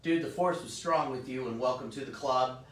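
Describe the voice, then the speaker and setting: A man, host of a live comic shop stream, chatting upbeat and rambling with many fillers, his voice hoarse and partly gone. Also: moderate pace, recorded from a distance. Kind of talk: casual live-stream chat. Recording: roomy, off-mic, faint rumble.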